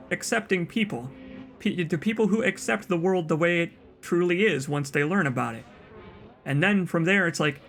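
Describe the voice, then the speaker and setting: A man's voice: a little high, a bit bright, slight background noise. Soothing, chatty man streaming a game. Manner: rambling, chatty, frequent restarts and asides. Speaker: let's play streamer. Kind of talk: casual gameplay commentary.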